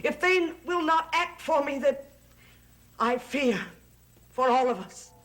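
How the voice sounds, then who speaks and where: Solemn, formal occult servant. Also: female voice, sits high, takes it slowly, fairly bright tone. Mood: solemn, fearful. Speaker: soap opera actress. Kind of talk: gothic soap opera acting. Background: faint music.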